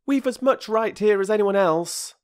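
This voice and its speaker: A man's voice: high-pitched, moderate pace, smooth, pitched above the performer's own voice, lightly acted. A man voicing a brave, defiant young boy who answers back boldly. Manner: bold, defiant retorts.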